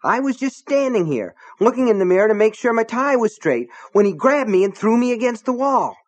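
A man's voice: lively intonation, fairly quick. An adult man voicing a scrawny, nervous small-town deputy who talks in a shaky, defiant way. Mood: agitated. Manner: high-strung, trembly, defiant.

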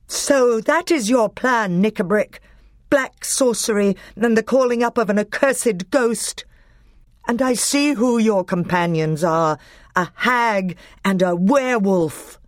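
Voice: medium pitch, sing-song, normal speed, hardly any pauses, a little mellow, breathy edge, no room sound, studio quality, pitched above the performer's own voice. A reader voicing an earnest young boy king with a raised, youthful voice. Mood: thundering. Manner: commanding, then courteous.

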